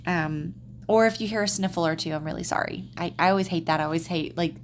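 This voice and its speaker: Casting: Southern woman, rambling planner vlogger, slightly stuffy nose, lowish voice, faint hum. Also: fast talker. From Texas, USA. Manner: chatty, rambling, many ums and restarts. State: slightly congested. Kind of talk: casual plan-with-me voiceover.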